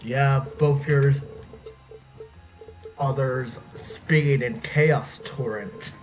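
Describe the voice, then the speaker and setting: A man doing casual co-commentary on a game with improvised role-play, halting as he searches for words. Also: medium pitch, over music. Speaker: video game let's player, co-commentator.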